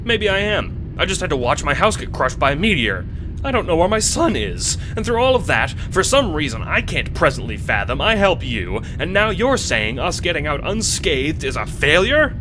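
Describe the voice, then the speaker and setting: A man's voice: a little high, very animated, normal speed, over music, voice pushed up, poor audio. A man voicing an earnest, emotional father who is easily riled, speaking in a theatrical, exasperated way in an audio drama. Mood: distraught, angry.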